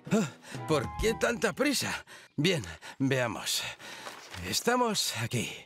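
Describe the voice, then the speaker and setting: A man's voice: fairly high pitch, huge pitch swings, takes it slowly, fairly bright tone, full character voice. A man with a Castilian Spanish accent voicing a hesitant, stammering older would-be leader in a children's cartoon. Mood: flustered. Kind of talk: animated children's cartoon dub. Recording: music behind dialogue.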